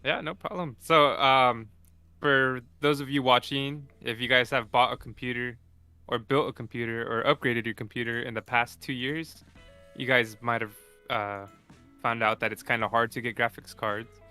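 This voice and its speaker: A man's voice: medium pitch, steady tone, normal speed, pushed and bright, clear, resonant tone, no room sound, soft background music. A young man co-hosting a casual tech podcast, easygoing and explaining things plainly with fillers. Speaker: co-host.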